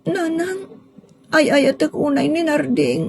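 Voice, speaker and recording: Actress as lovelorn young woman, audio melodrama; high, slow, hesitant delivery, mournful and pleading. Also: big pitch swings, creaky, heavily acted. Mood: sad, reluctant. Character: grieving young daughter pining for her lost sweetheart. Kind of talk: sentimental audio drama acting.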